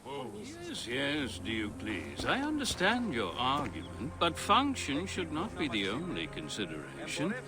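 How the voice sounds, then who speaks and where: An actor playing an effusive Roman architect and scholar, speaking in a grandiloquent, theatrical way for a video game. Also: male voice, mid-range voice, wildly swooping pitch, measured pace. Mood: lofty, jovial. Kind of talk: video game cutscene acting.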